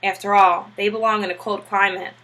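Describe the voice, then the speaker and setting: A woman voicing an earnest, gentle, modest family man in a storybook, in a polite, deliberate manner. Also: medium pitch, little pitch movement, natural voice, low-quality recording. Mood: earnest, resigned.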